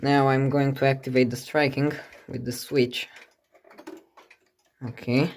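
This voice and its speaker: Slow-paced hobbyist musing over a clock. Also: mid-range voice, flat delivery, slight background noise. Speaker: clock collector presenting a clock. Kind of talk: casual hands-on demonstration. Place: indoors beside a ticking clock.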